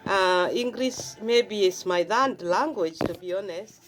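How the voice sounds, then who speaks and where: A woman's voice: medium pitch, measured pace, faint music behind. Kenyan woman in a casual faith talk. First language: Swahili. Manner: repeats phrases, explains herself at length. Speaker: vlogger sharing faith. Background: faint gospel song playing.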